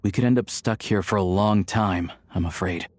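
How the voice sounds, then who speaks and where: A man's voice: sits low, moderate pace, no echo. A man voicing an easygoing, friendly young hunter in a video game, with a casual, wry manner. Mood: resigned.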